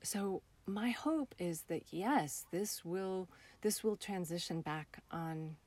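Woman director chatting in an interview, voice a bit low, earnest and expressive. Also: takes it slowly. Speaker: theater director and actor being interviewed. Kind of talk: reflective interview conversation.

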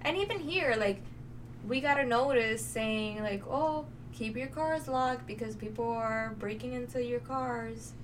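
Female podcast co-host, somewhat high voice, casual and colloquial, slightly distant recording with light noise. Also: a little slow. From New York City. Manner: informal, colloquial. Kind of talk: casual conversational podcast chat. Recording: distant mic, faint background noise.

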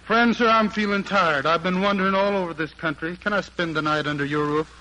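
A man's voice: high-pitched, brisk, pushed and bright, a little hiss or hum, low-quality recording. A man playing a cheerful, easygoing young wandering folk singer in a folksy 1940s radio drama style. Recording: old 1940s radio broadcast with music.

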